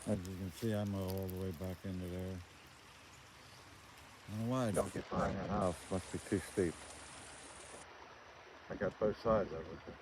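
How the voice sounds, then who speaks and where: Older man rambling over loud outdoor noise. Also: low voice, steady tone, moderate pace, pauses often. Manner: folksy, plainspoken, thinking aloud. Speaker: vlogger showing his farm. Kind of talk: casual rambling walk-around commentary. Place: outdoors on a rural farm. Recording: very noisy outdoor amateur recording.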